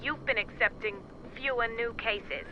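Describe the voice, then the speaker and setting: A cold agency official on the phone. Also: male voice, very high voice, measured pace, background noise. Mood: coolly threatening. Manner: smooth, formal and veiled-threatening. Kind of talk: game voice acting. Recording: muffled telephone line.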